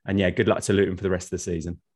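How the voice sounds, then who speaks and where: A man's voice: low-pitched, little pitch movement, very fast. A man hosting a casual podcast interview over a video call, mostly short agreeing remarks and friendly wrap-ups. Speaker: podcast host.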